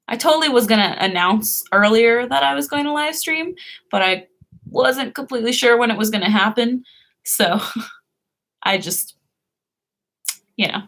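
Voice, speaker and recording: A woman's voice: a little high, measured pace, long pauses, a bit bright. A young woman hosting a casual live stream, who chats, laughs often and answers her viewers' comments.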